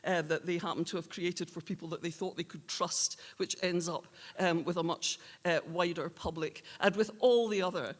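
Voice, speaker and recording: A woman presenting awards in an unscripted, halting, long-winded and earnest way. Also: on the low side. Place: indoor awards hall. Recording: reverberant hall.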